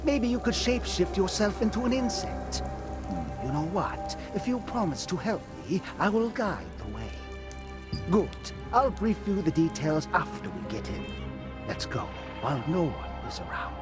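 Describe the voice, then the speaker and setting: A man playing a cautious resistance guard in a video game, brisk and conspiratorial. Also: high voice, big pitch swings. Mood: scheming. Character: cautious resistance guard at a monster hatchery, male. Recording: clear music behind the voice.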